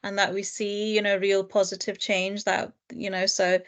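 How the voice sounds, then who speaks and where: A woman teacher and lecturer answering interview questions in a thoughtful, earnest way, with frequent fillers and soft laughs. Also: medium pitch, little pitch movement, moderate pace. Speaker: guest, primary teacher and lecturer. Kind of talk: reflective interview conversation.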